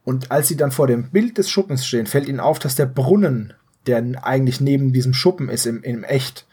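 A man co-hosting a podcast, casually retelling a story in analytical detail and correcting himself as he goes, in a slightly husky voice. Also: medium pitch, expressive, brisk, dark tone. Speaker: podcast co-host.

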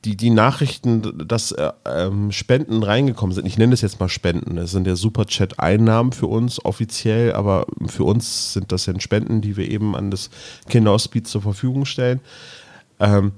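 A man with a fairly low voice talks as a podcast host in a loose, conversational way. He feels his way through sentences, pausing to find his words. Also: darkish tone. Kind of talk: casual chat.

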